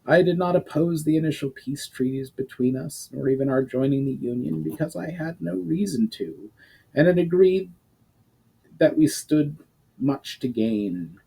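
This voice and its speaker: A man voicing a fatherly fantasy duke in a storybook reading, firm, formal and concerned, with measured gravity. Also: mid-range voice, gravelly, warm tone, husky, voice pushed up, rough recording.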